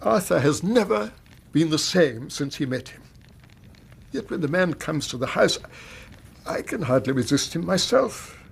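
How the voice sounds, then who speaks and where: An actor playing an anxious, grieving middle-aged English widower and banker in a radio drama, telling his story in a formal, halting, agitated way. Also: on the high side, very animated, vocal fry, breathy edge, heavily acted. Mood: troubled.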